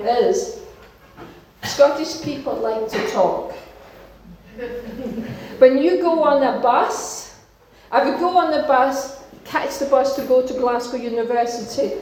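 A woman's voice: high voice, darkish tone, slight background noise. A woman from Denmark preaching a sermon as a pastor, earnest and encouraging, in short emphatic phrases. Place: church hall. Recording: very echoey church hall.

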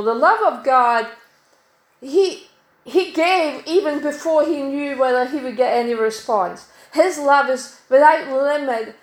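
A middle-aged woman from Denmark preaching a sermon in a church, measured and emphatic, slowing to stress key words. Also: sits high. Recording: echoey church hall.

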